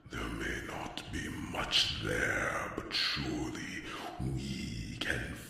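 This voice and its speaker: A man playing a solemn, gentle fantasy mentor in an ASMR roleplay, speaking in a hushed, breathy whisper with measured pauses. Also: very deep voice, fairly even intonation, heavily acted. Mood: tender, encouraging. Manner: slow, hushed, measured, with pondering hums. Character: solemn, gentle wise mentor counselling a young friend in dragon fantasy. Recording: echoey, distant, noisy with long reverb.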